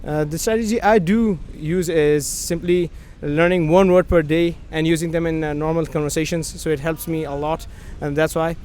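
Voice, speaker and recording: A man's voice: fairly high pitch, steady tone, moderate pace, hardly any pauses, darkish tone, clear, resonant tone. A young man with a Pakistani accent, a native Urdu speaker, answering a street interview in earnest English with frequent restarts. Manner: earnest, repeats "quite", restarts often. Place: outdoors on a city street.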